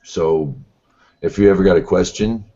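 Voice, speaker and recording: Male host with a very deep, husky voice, rambling and self-doubting on a lo-fi call. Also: normal speed, warm tone. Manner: rambling, unsure of himself, many restarts. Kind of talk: casual online group discussion. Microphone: video call. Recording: dull lo-fi online call audio.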